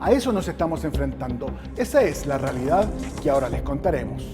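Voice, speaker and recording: A Chilean man reads a crime report as a scripted voice-over, measured and dramatic. His voice sits high for a man. A clear music bed plays under the narration. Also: very animated.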